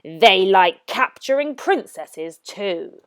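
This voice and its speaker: Wicked wizard villain for a children's storybook, played by a woman: loud, menacing, slow drawn-out taunts. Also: mid-range voice, animated, dry recording. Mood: menacing gloating.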